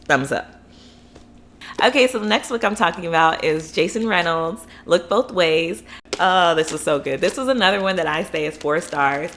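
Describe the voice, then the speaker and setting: Low-voiced chatty woman book vlogger. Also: normal speed, a bit bright, a little hiss or hum. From Florida, USA. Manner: chatty, rambling, enthusiastic, many fillers. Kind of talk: casual book review vlog.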